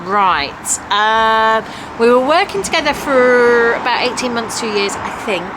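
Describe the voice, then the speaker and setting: A woman's voice: a little high, lively intonation, unhurried, noisy background. A woman in her forties with an English accent, chatting and laughing with her husband for a vlog on a night out. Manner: chatty, laughs often, teasing. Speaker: vlogger co-host. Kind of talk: casual chat on a night out. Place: outdoors on town streets, then a busy restaurant. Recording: loud music and crowd behind.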